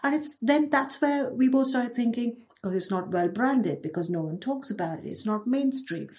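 A woman's voice: a little high, normal speed. A middle-aged woman from India, a company founder, talking reflectively and with animation as a guest in an interview. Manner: reflective, animated, laughs easily. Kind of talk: podcast interview conversation.

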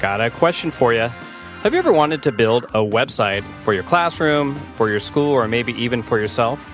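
A man's voice: medium pitch, animated, normal speed, a bit bright, faint music behind. A man hosting an education podcast, asking rambling questions with restarts, then reading a promo. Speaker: podcast host and interviewer. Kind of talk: conversational interview, promo read.

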